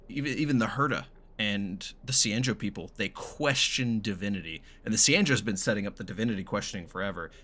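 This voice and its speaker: Casting: male game streamer, lively swooping intonation, bright forceful tone, blunt and sweary, faint music behind. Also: fairly low pitch. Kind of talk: casual gameplay reaction commentary.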